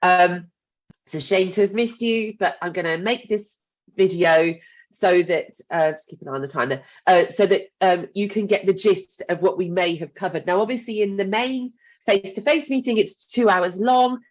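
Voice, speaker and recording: A woman's voice: mid-range voice, normal speed, highs cut off. A woman, a university mentoring lead, chats reassuringly through a recorded training talk with a congested, blocked-up voice. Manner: chatty, reassuring, with frequent ums and asides. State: congested from a cold. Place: home. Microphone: headset.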